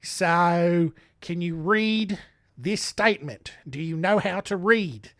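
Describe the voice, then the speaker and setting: A man playing a condescending male court official who talks down slowly and sarcastically. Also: high-pitched, fairly bright tone, dry recording, clean studio sound, heavily acted. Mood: mocking.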